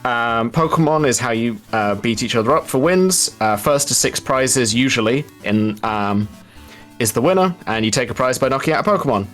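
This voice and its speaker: A man's voice: mid-range voice, lively intonation, normal speed, fairly bright tone, faint music behind. A man giving casual, explanatory live speedrun commentary in a chatty, wry, informal way.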